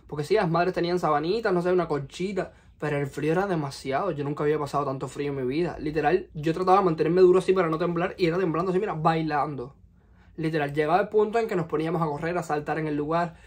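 A young man in his twenties from Cuba, speaking with a Cuban Spanish accent, telling a personal story to the camera in his bedroom with lively, expressive delivery. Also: a little high, fast talker. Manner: animated, expressive, gesturing with hands. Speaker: vlogger. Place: small bedroom, indoors. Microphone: camera in front at close range.

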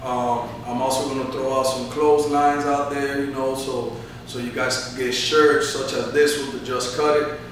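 A man's voice: mid-range voice, flat delivery, normal speed, slight background noise. A man in his thirties, a barbershop owner, giving earnest motivational answers in a sit-down interview, peppered with "you know". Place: barbershop, indoors. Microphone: camera mic from across the room. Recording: echoey room, mic far off.

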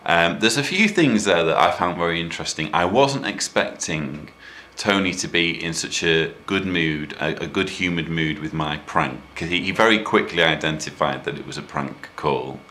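A man's voice: low voice, normal speed, pushed and bright. A British man in his thirties giving casual commentary as a vlogger. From United Kingdom. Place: small indoor room at a desk.

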